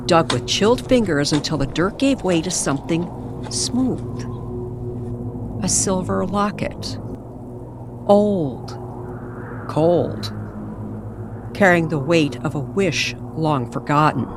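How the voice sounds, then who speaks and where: A woman narrating an eerie story in old-time radio style, with dramatic, weighted pauses. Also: on the low side, noisy background.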